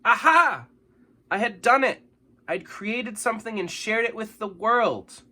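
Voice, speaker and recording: An anxious, excitable young cookie from a picture book, played by a man. The voice jumps up high and swings widely, full of nervous exclamation. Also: a little slow, heavily acted. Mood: excited.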